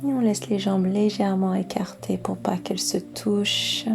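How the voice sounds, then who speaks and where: A woman guiding a yoga relaxation session as instructor, speaking in a soft, breathy voice with calm, soothing instructions. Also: medium pitch, over music. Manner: slow, calm, soothing instructions with long pauses. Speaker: yoga nidra instructor and guide. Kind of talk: guided relaxation meditation.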